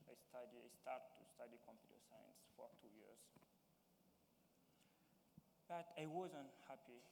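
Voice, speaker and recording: A young man from Mali with a Malian accent, giving halting personal testimony as a town hall panelist and searching for words in English. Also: mid-range voice, gravelly, darkish tone. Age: young adult. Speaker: youth panelist. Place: large hall. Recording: reverberant hall with faint noise.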